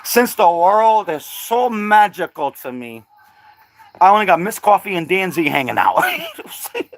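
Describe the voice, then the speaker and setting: A man's voice: sits high, wildly swooping pitch, normal speed. A middle-aged man chatting casually on a livestream, laid-back and slangy, laughing often. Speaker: livestream host.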